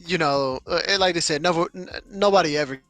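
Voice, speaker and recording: A man's voice: fairly high pitch, fast talker, a bit bright. A man co-hosting a podcast, talking in a laid-back, casual way. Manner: laid-back, frequent agreeing. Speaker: podcast co-host. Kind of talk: casual discussion.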